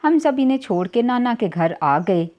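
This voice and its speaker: A woman's voice: on the high side, expressive, moderate pace, highs cut off. A woman narrating a scripted first-person story as a storyteller.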